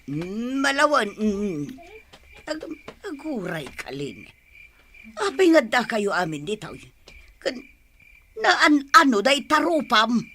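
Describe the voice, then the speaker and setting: Slow, sharp-voiced old village grandmother. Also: fairly high pitch, very animated, slight background noise, exaggerated voice. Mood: puzzled, hesitant. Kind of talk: radio drama acting in Ilocano.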